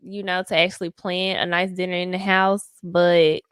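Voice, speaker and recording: A young woman co-hosting a podcast with her husband, relaxed and quick to laugh, with teasing replies. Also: on the low side, smooth, dry recording, studio quality. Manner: laid-back, laughs often, teasing replies. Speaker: podcast co-host. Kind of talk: casual chat with spouse.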